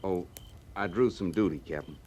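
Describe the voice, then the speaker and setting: An actor playing a wry, folksy cavalry sergeant with sly deference in a 1950s radio western, on a noisy old recording. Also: male voice, low-pitched, moderate pace, darkish tone. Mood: probing, deferential.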